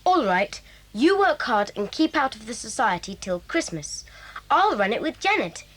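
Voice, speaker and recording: A woman's voice: on the high side, big pitch swings, moderate pace. A woman voicing a cheeky, stubborn young schoolboy in an 1980s audio drama, argumentative and defiant.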